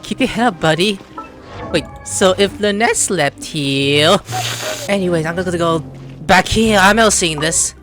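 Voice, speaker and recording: A woman hosting a gaming video, giving casual, blunt and expressive reactions as she plays. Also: fairly low pitch, a little slow, soft background music. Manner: informal, expressive, blunt, swearing. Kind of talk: casual game playthrough reaction commentary.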